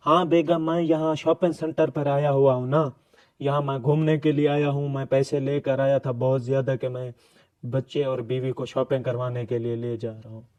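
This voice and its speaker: Male actor as cartoon husband, mid-pitched, pleading, complaining, easily exasperated. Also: flat delivery, normal speed, darkish tone, muffled recording, lightly acted. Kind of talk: cartoon dialogue.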